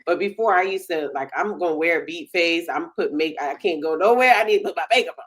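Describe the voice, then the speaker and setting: A woman with a slightly low voice hosts a casual podcast conversation. Her way of talking is folksy and colloquial, relaxed in wording as she asks and responds. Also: fast talker. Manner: folksy, colloquial, frequent backchannels. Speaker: podcast host. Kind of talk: casual chat.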